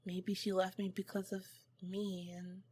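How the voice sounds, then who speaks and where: A woman playing an awkward, earnest female alien scientist in an ASMR roleplay, soft-spoken, with formal, clinical wording and flustered hesitations. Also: mid-range voice, level tone, unhurried, close to an everyday voice. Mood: reserved, wistful.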